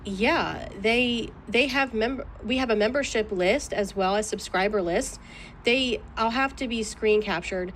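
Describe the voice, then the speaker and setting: A woman's voice: fairly high pitch, measured pace, fairly bright tone, a little hiss or hum. A woman answering questions in a legal deposition in a guarded, clipped manner. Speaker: plaintiff being deposed.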